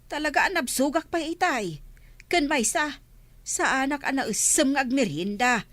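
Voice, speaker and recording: A woman's voice: sits high, expressive, normal speed, fairly bright tone, no echo. A woman playing a tired but fond elderly village grandmother with an Ilocano accent in a comedy radio drama. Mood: motherly, tired.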